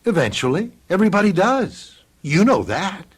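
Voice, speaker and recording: An actor playing a fussy, apologetic official who collects the dead, chatty and genial in a radio mystery drama. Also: male voice, high voice, very animated, moderate pace, slightly rough, exaggerated voice. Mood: genial, matter-of-fact.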